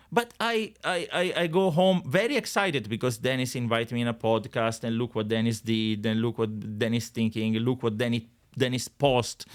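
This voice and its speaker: A middle-aged Italian man, a guest on a podcast, speaking English with an Italian accent in an animated, jokey way, with many restarts. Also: mid-range voice. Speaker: guest, real estate agent. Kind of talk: casual podcast conversation.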